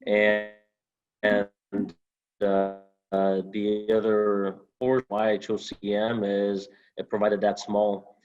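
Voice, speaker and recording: A young man from Honduras, a former student, answering interview questions over a video call in a halting way with many fillers. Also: on the low side, completely flat delivery, a little mellow, clear, resonant tone, highs cut off. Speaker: alumnus guest.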